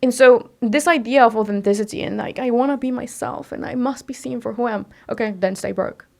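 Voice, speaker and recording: A woman's voice: fairly high pitch, fairly quick, creaky, a little mellow. A young woman from Mexico, whose first language is Spanish, speaking as a podcast guest in a direct, emphatic way and asking herself rhetorical questions. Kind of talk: casual interview talk.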